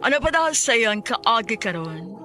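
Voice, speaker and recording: A woman's voice: on the high side, big pitch swings, moderate pace, pushed and bright, soft background music. A woman giving a dramatic scripted introduction as a radio drama announcer.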